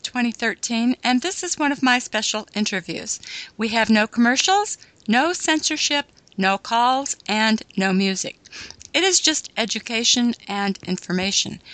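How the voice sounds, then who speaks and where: A woman hosting a talk radio interview, speaking in a measured way with frequent pauses and fillers. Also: a little high, pushed and bright, no room sound, low-quality recording. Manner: measured, halting with many fillers. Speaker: radio host.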